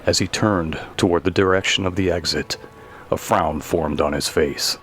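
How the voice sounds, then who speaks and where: A man reading scripted narration for a dark mystery story. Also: low-pitched, fairly even intonation, moderate pace. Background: faint music.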